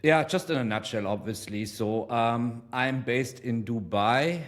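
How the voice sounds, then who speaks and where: A man of forty from Germany, explaining his trading as an interview guest, measured and full of ums. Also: mid-range voice. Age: 40s. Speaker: guest trader. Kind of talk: casual interview.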